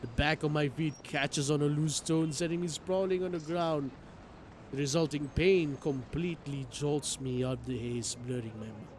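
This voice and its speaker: A man streaming a game, reading its story aloud in an expressive, theatrical way. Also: on the high side, normal speed, a little hiss or hum. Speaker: streamer playing a visual novel. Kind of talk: dramatic read-aloud narration with live reactions.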